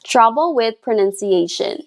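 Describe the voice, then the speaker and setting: Give me a higher-pitched woman teaching English. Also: expressive, unhurried. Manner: clear, encouraging and instructive. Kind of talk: scripted video lesson.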